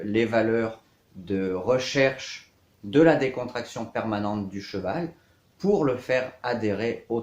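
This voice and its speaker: Man, thirties, riding coach presenting himself; lowish voice, measured and halting phrasing, roomy echo. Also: darkish tone. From south-east France. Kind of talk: scripted-outline self-introduction to camera for an online course. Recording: echoey, reverberant room.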